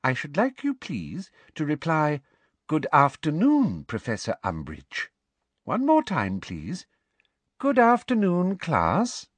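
Guy doing a prim girly lady teacher, high and sugary, condescending, sing-song pitch, storybook. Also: huge pitch swings, slow pace, husky, no echo, exaggerated voice. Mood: sweetly condescending. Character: sickly-sweet, strict, girlish middle-aged woman teacher.

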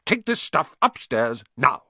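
A man's voice: mid-range voice, huge pitch swings, a little slow, sharp tone, slightly rough, no room sound, pitched above the performer's own voice, exaggerated voice. A man voicing a blustering, bullying middle-aged uncle in a storybook, barking and snapping, irascible and easily panicked. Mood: furious barking.

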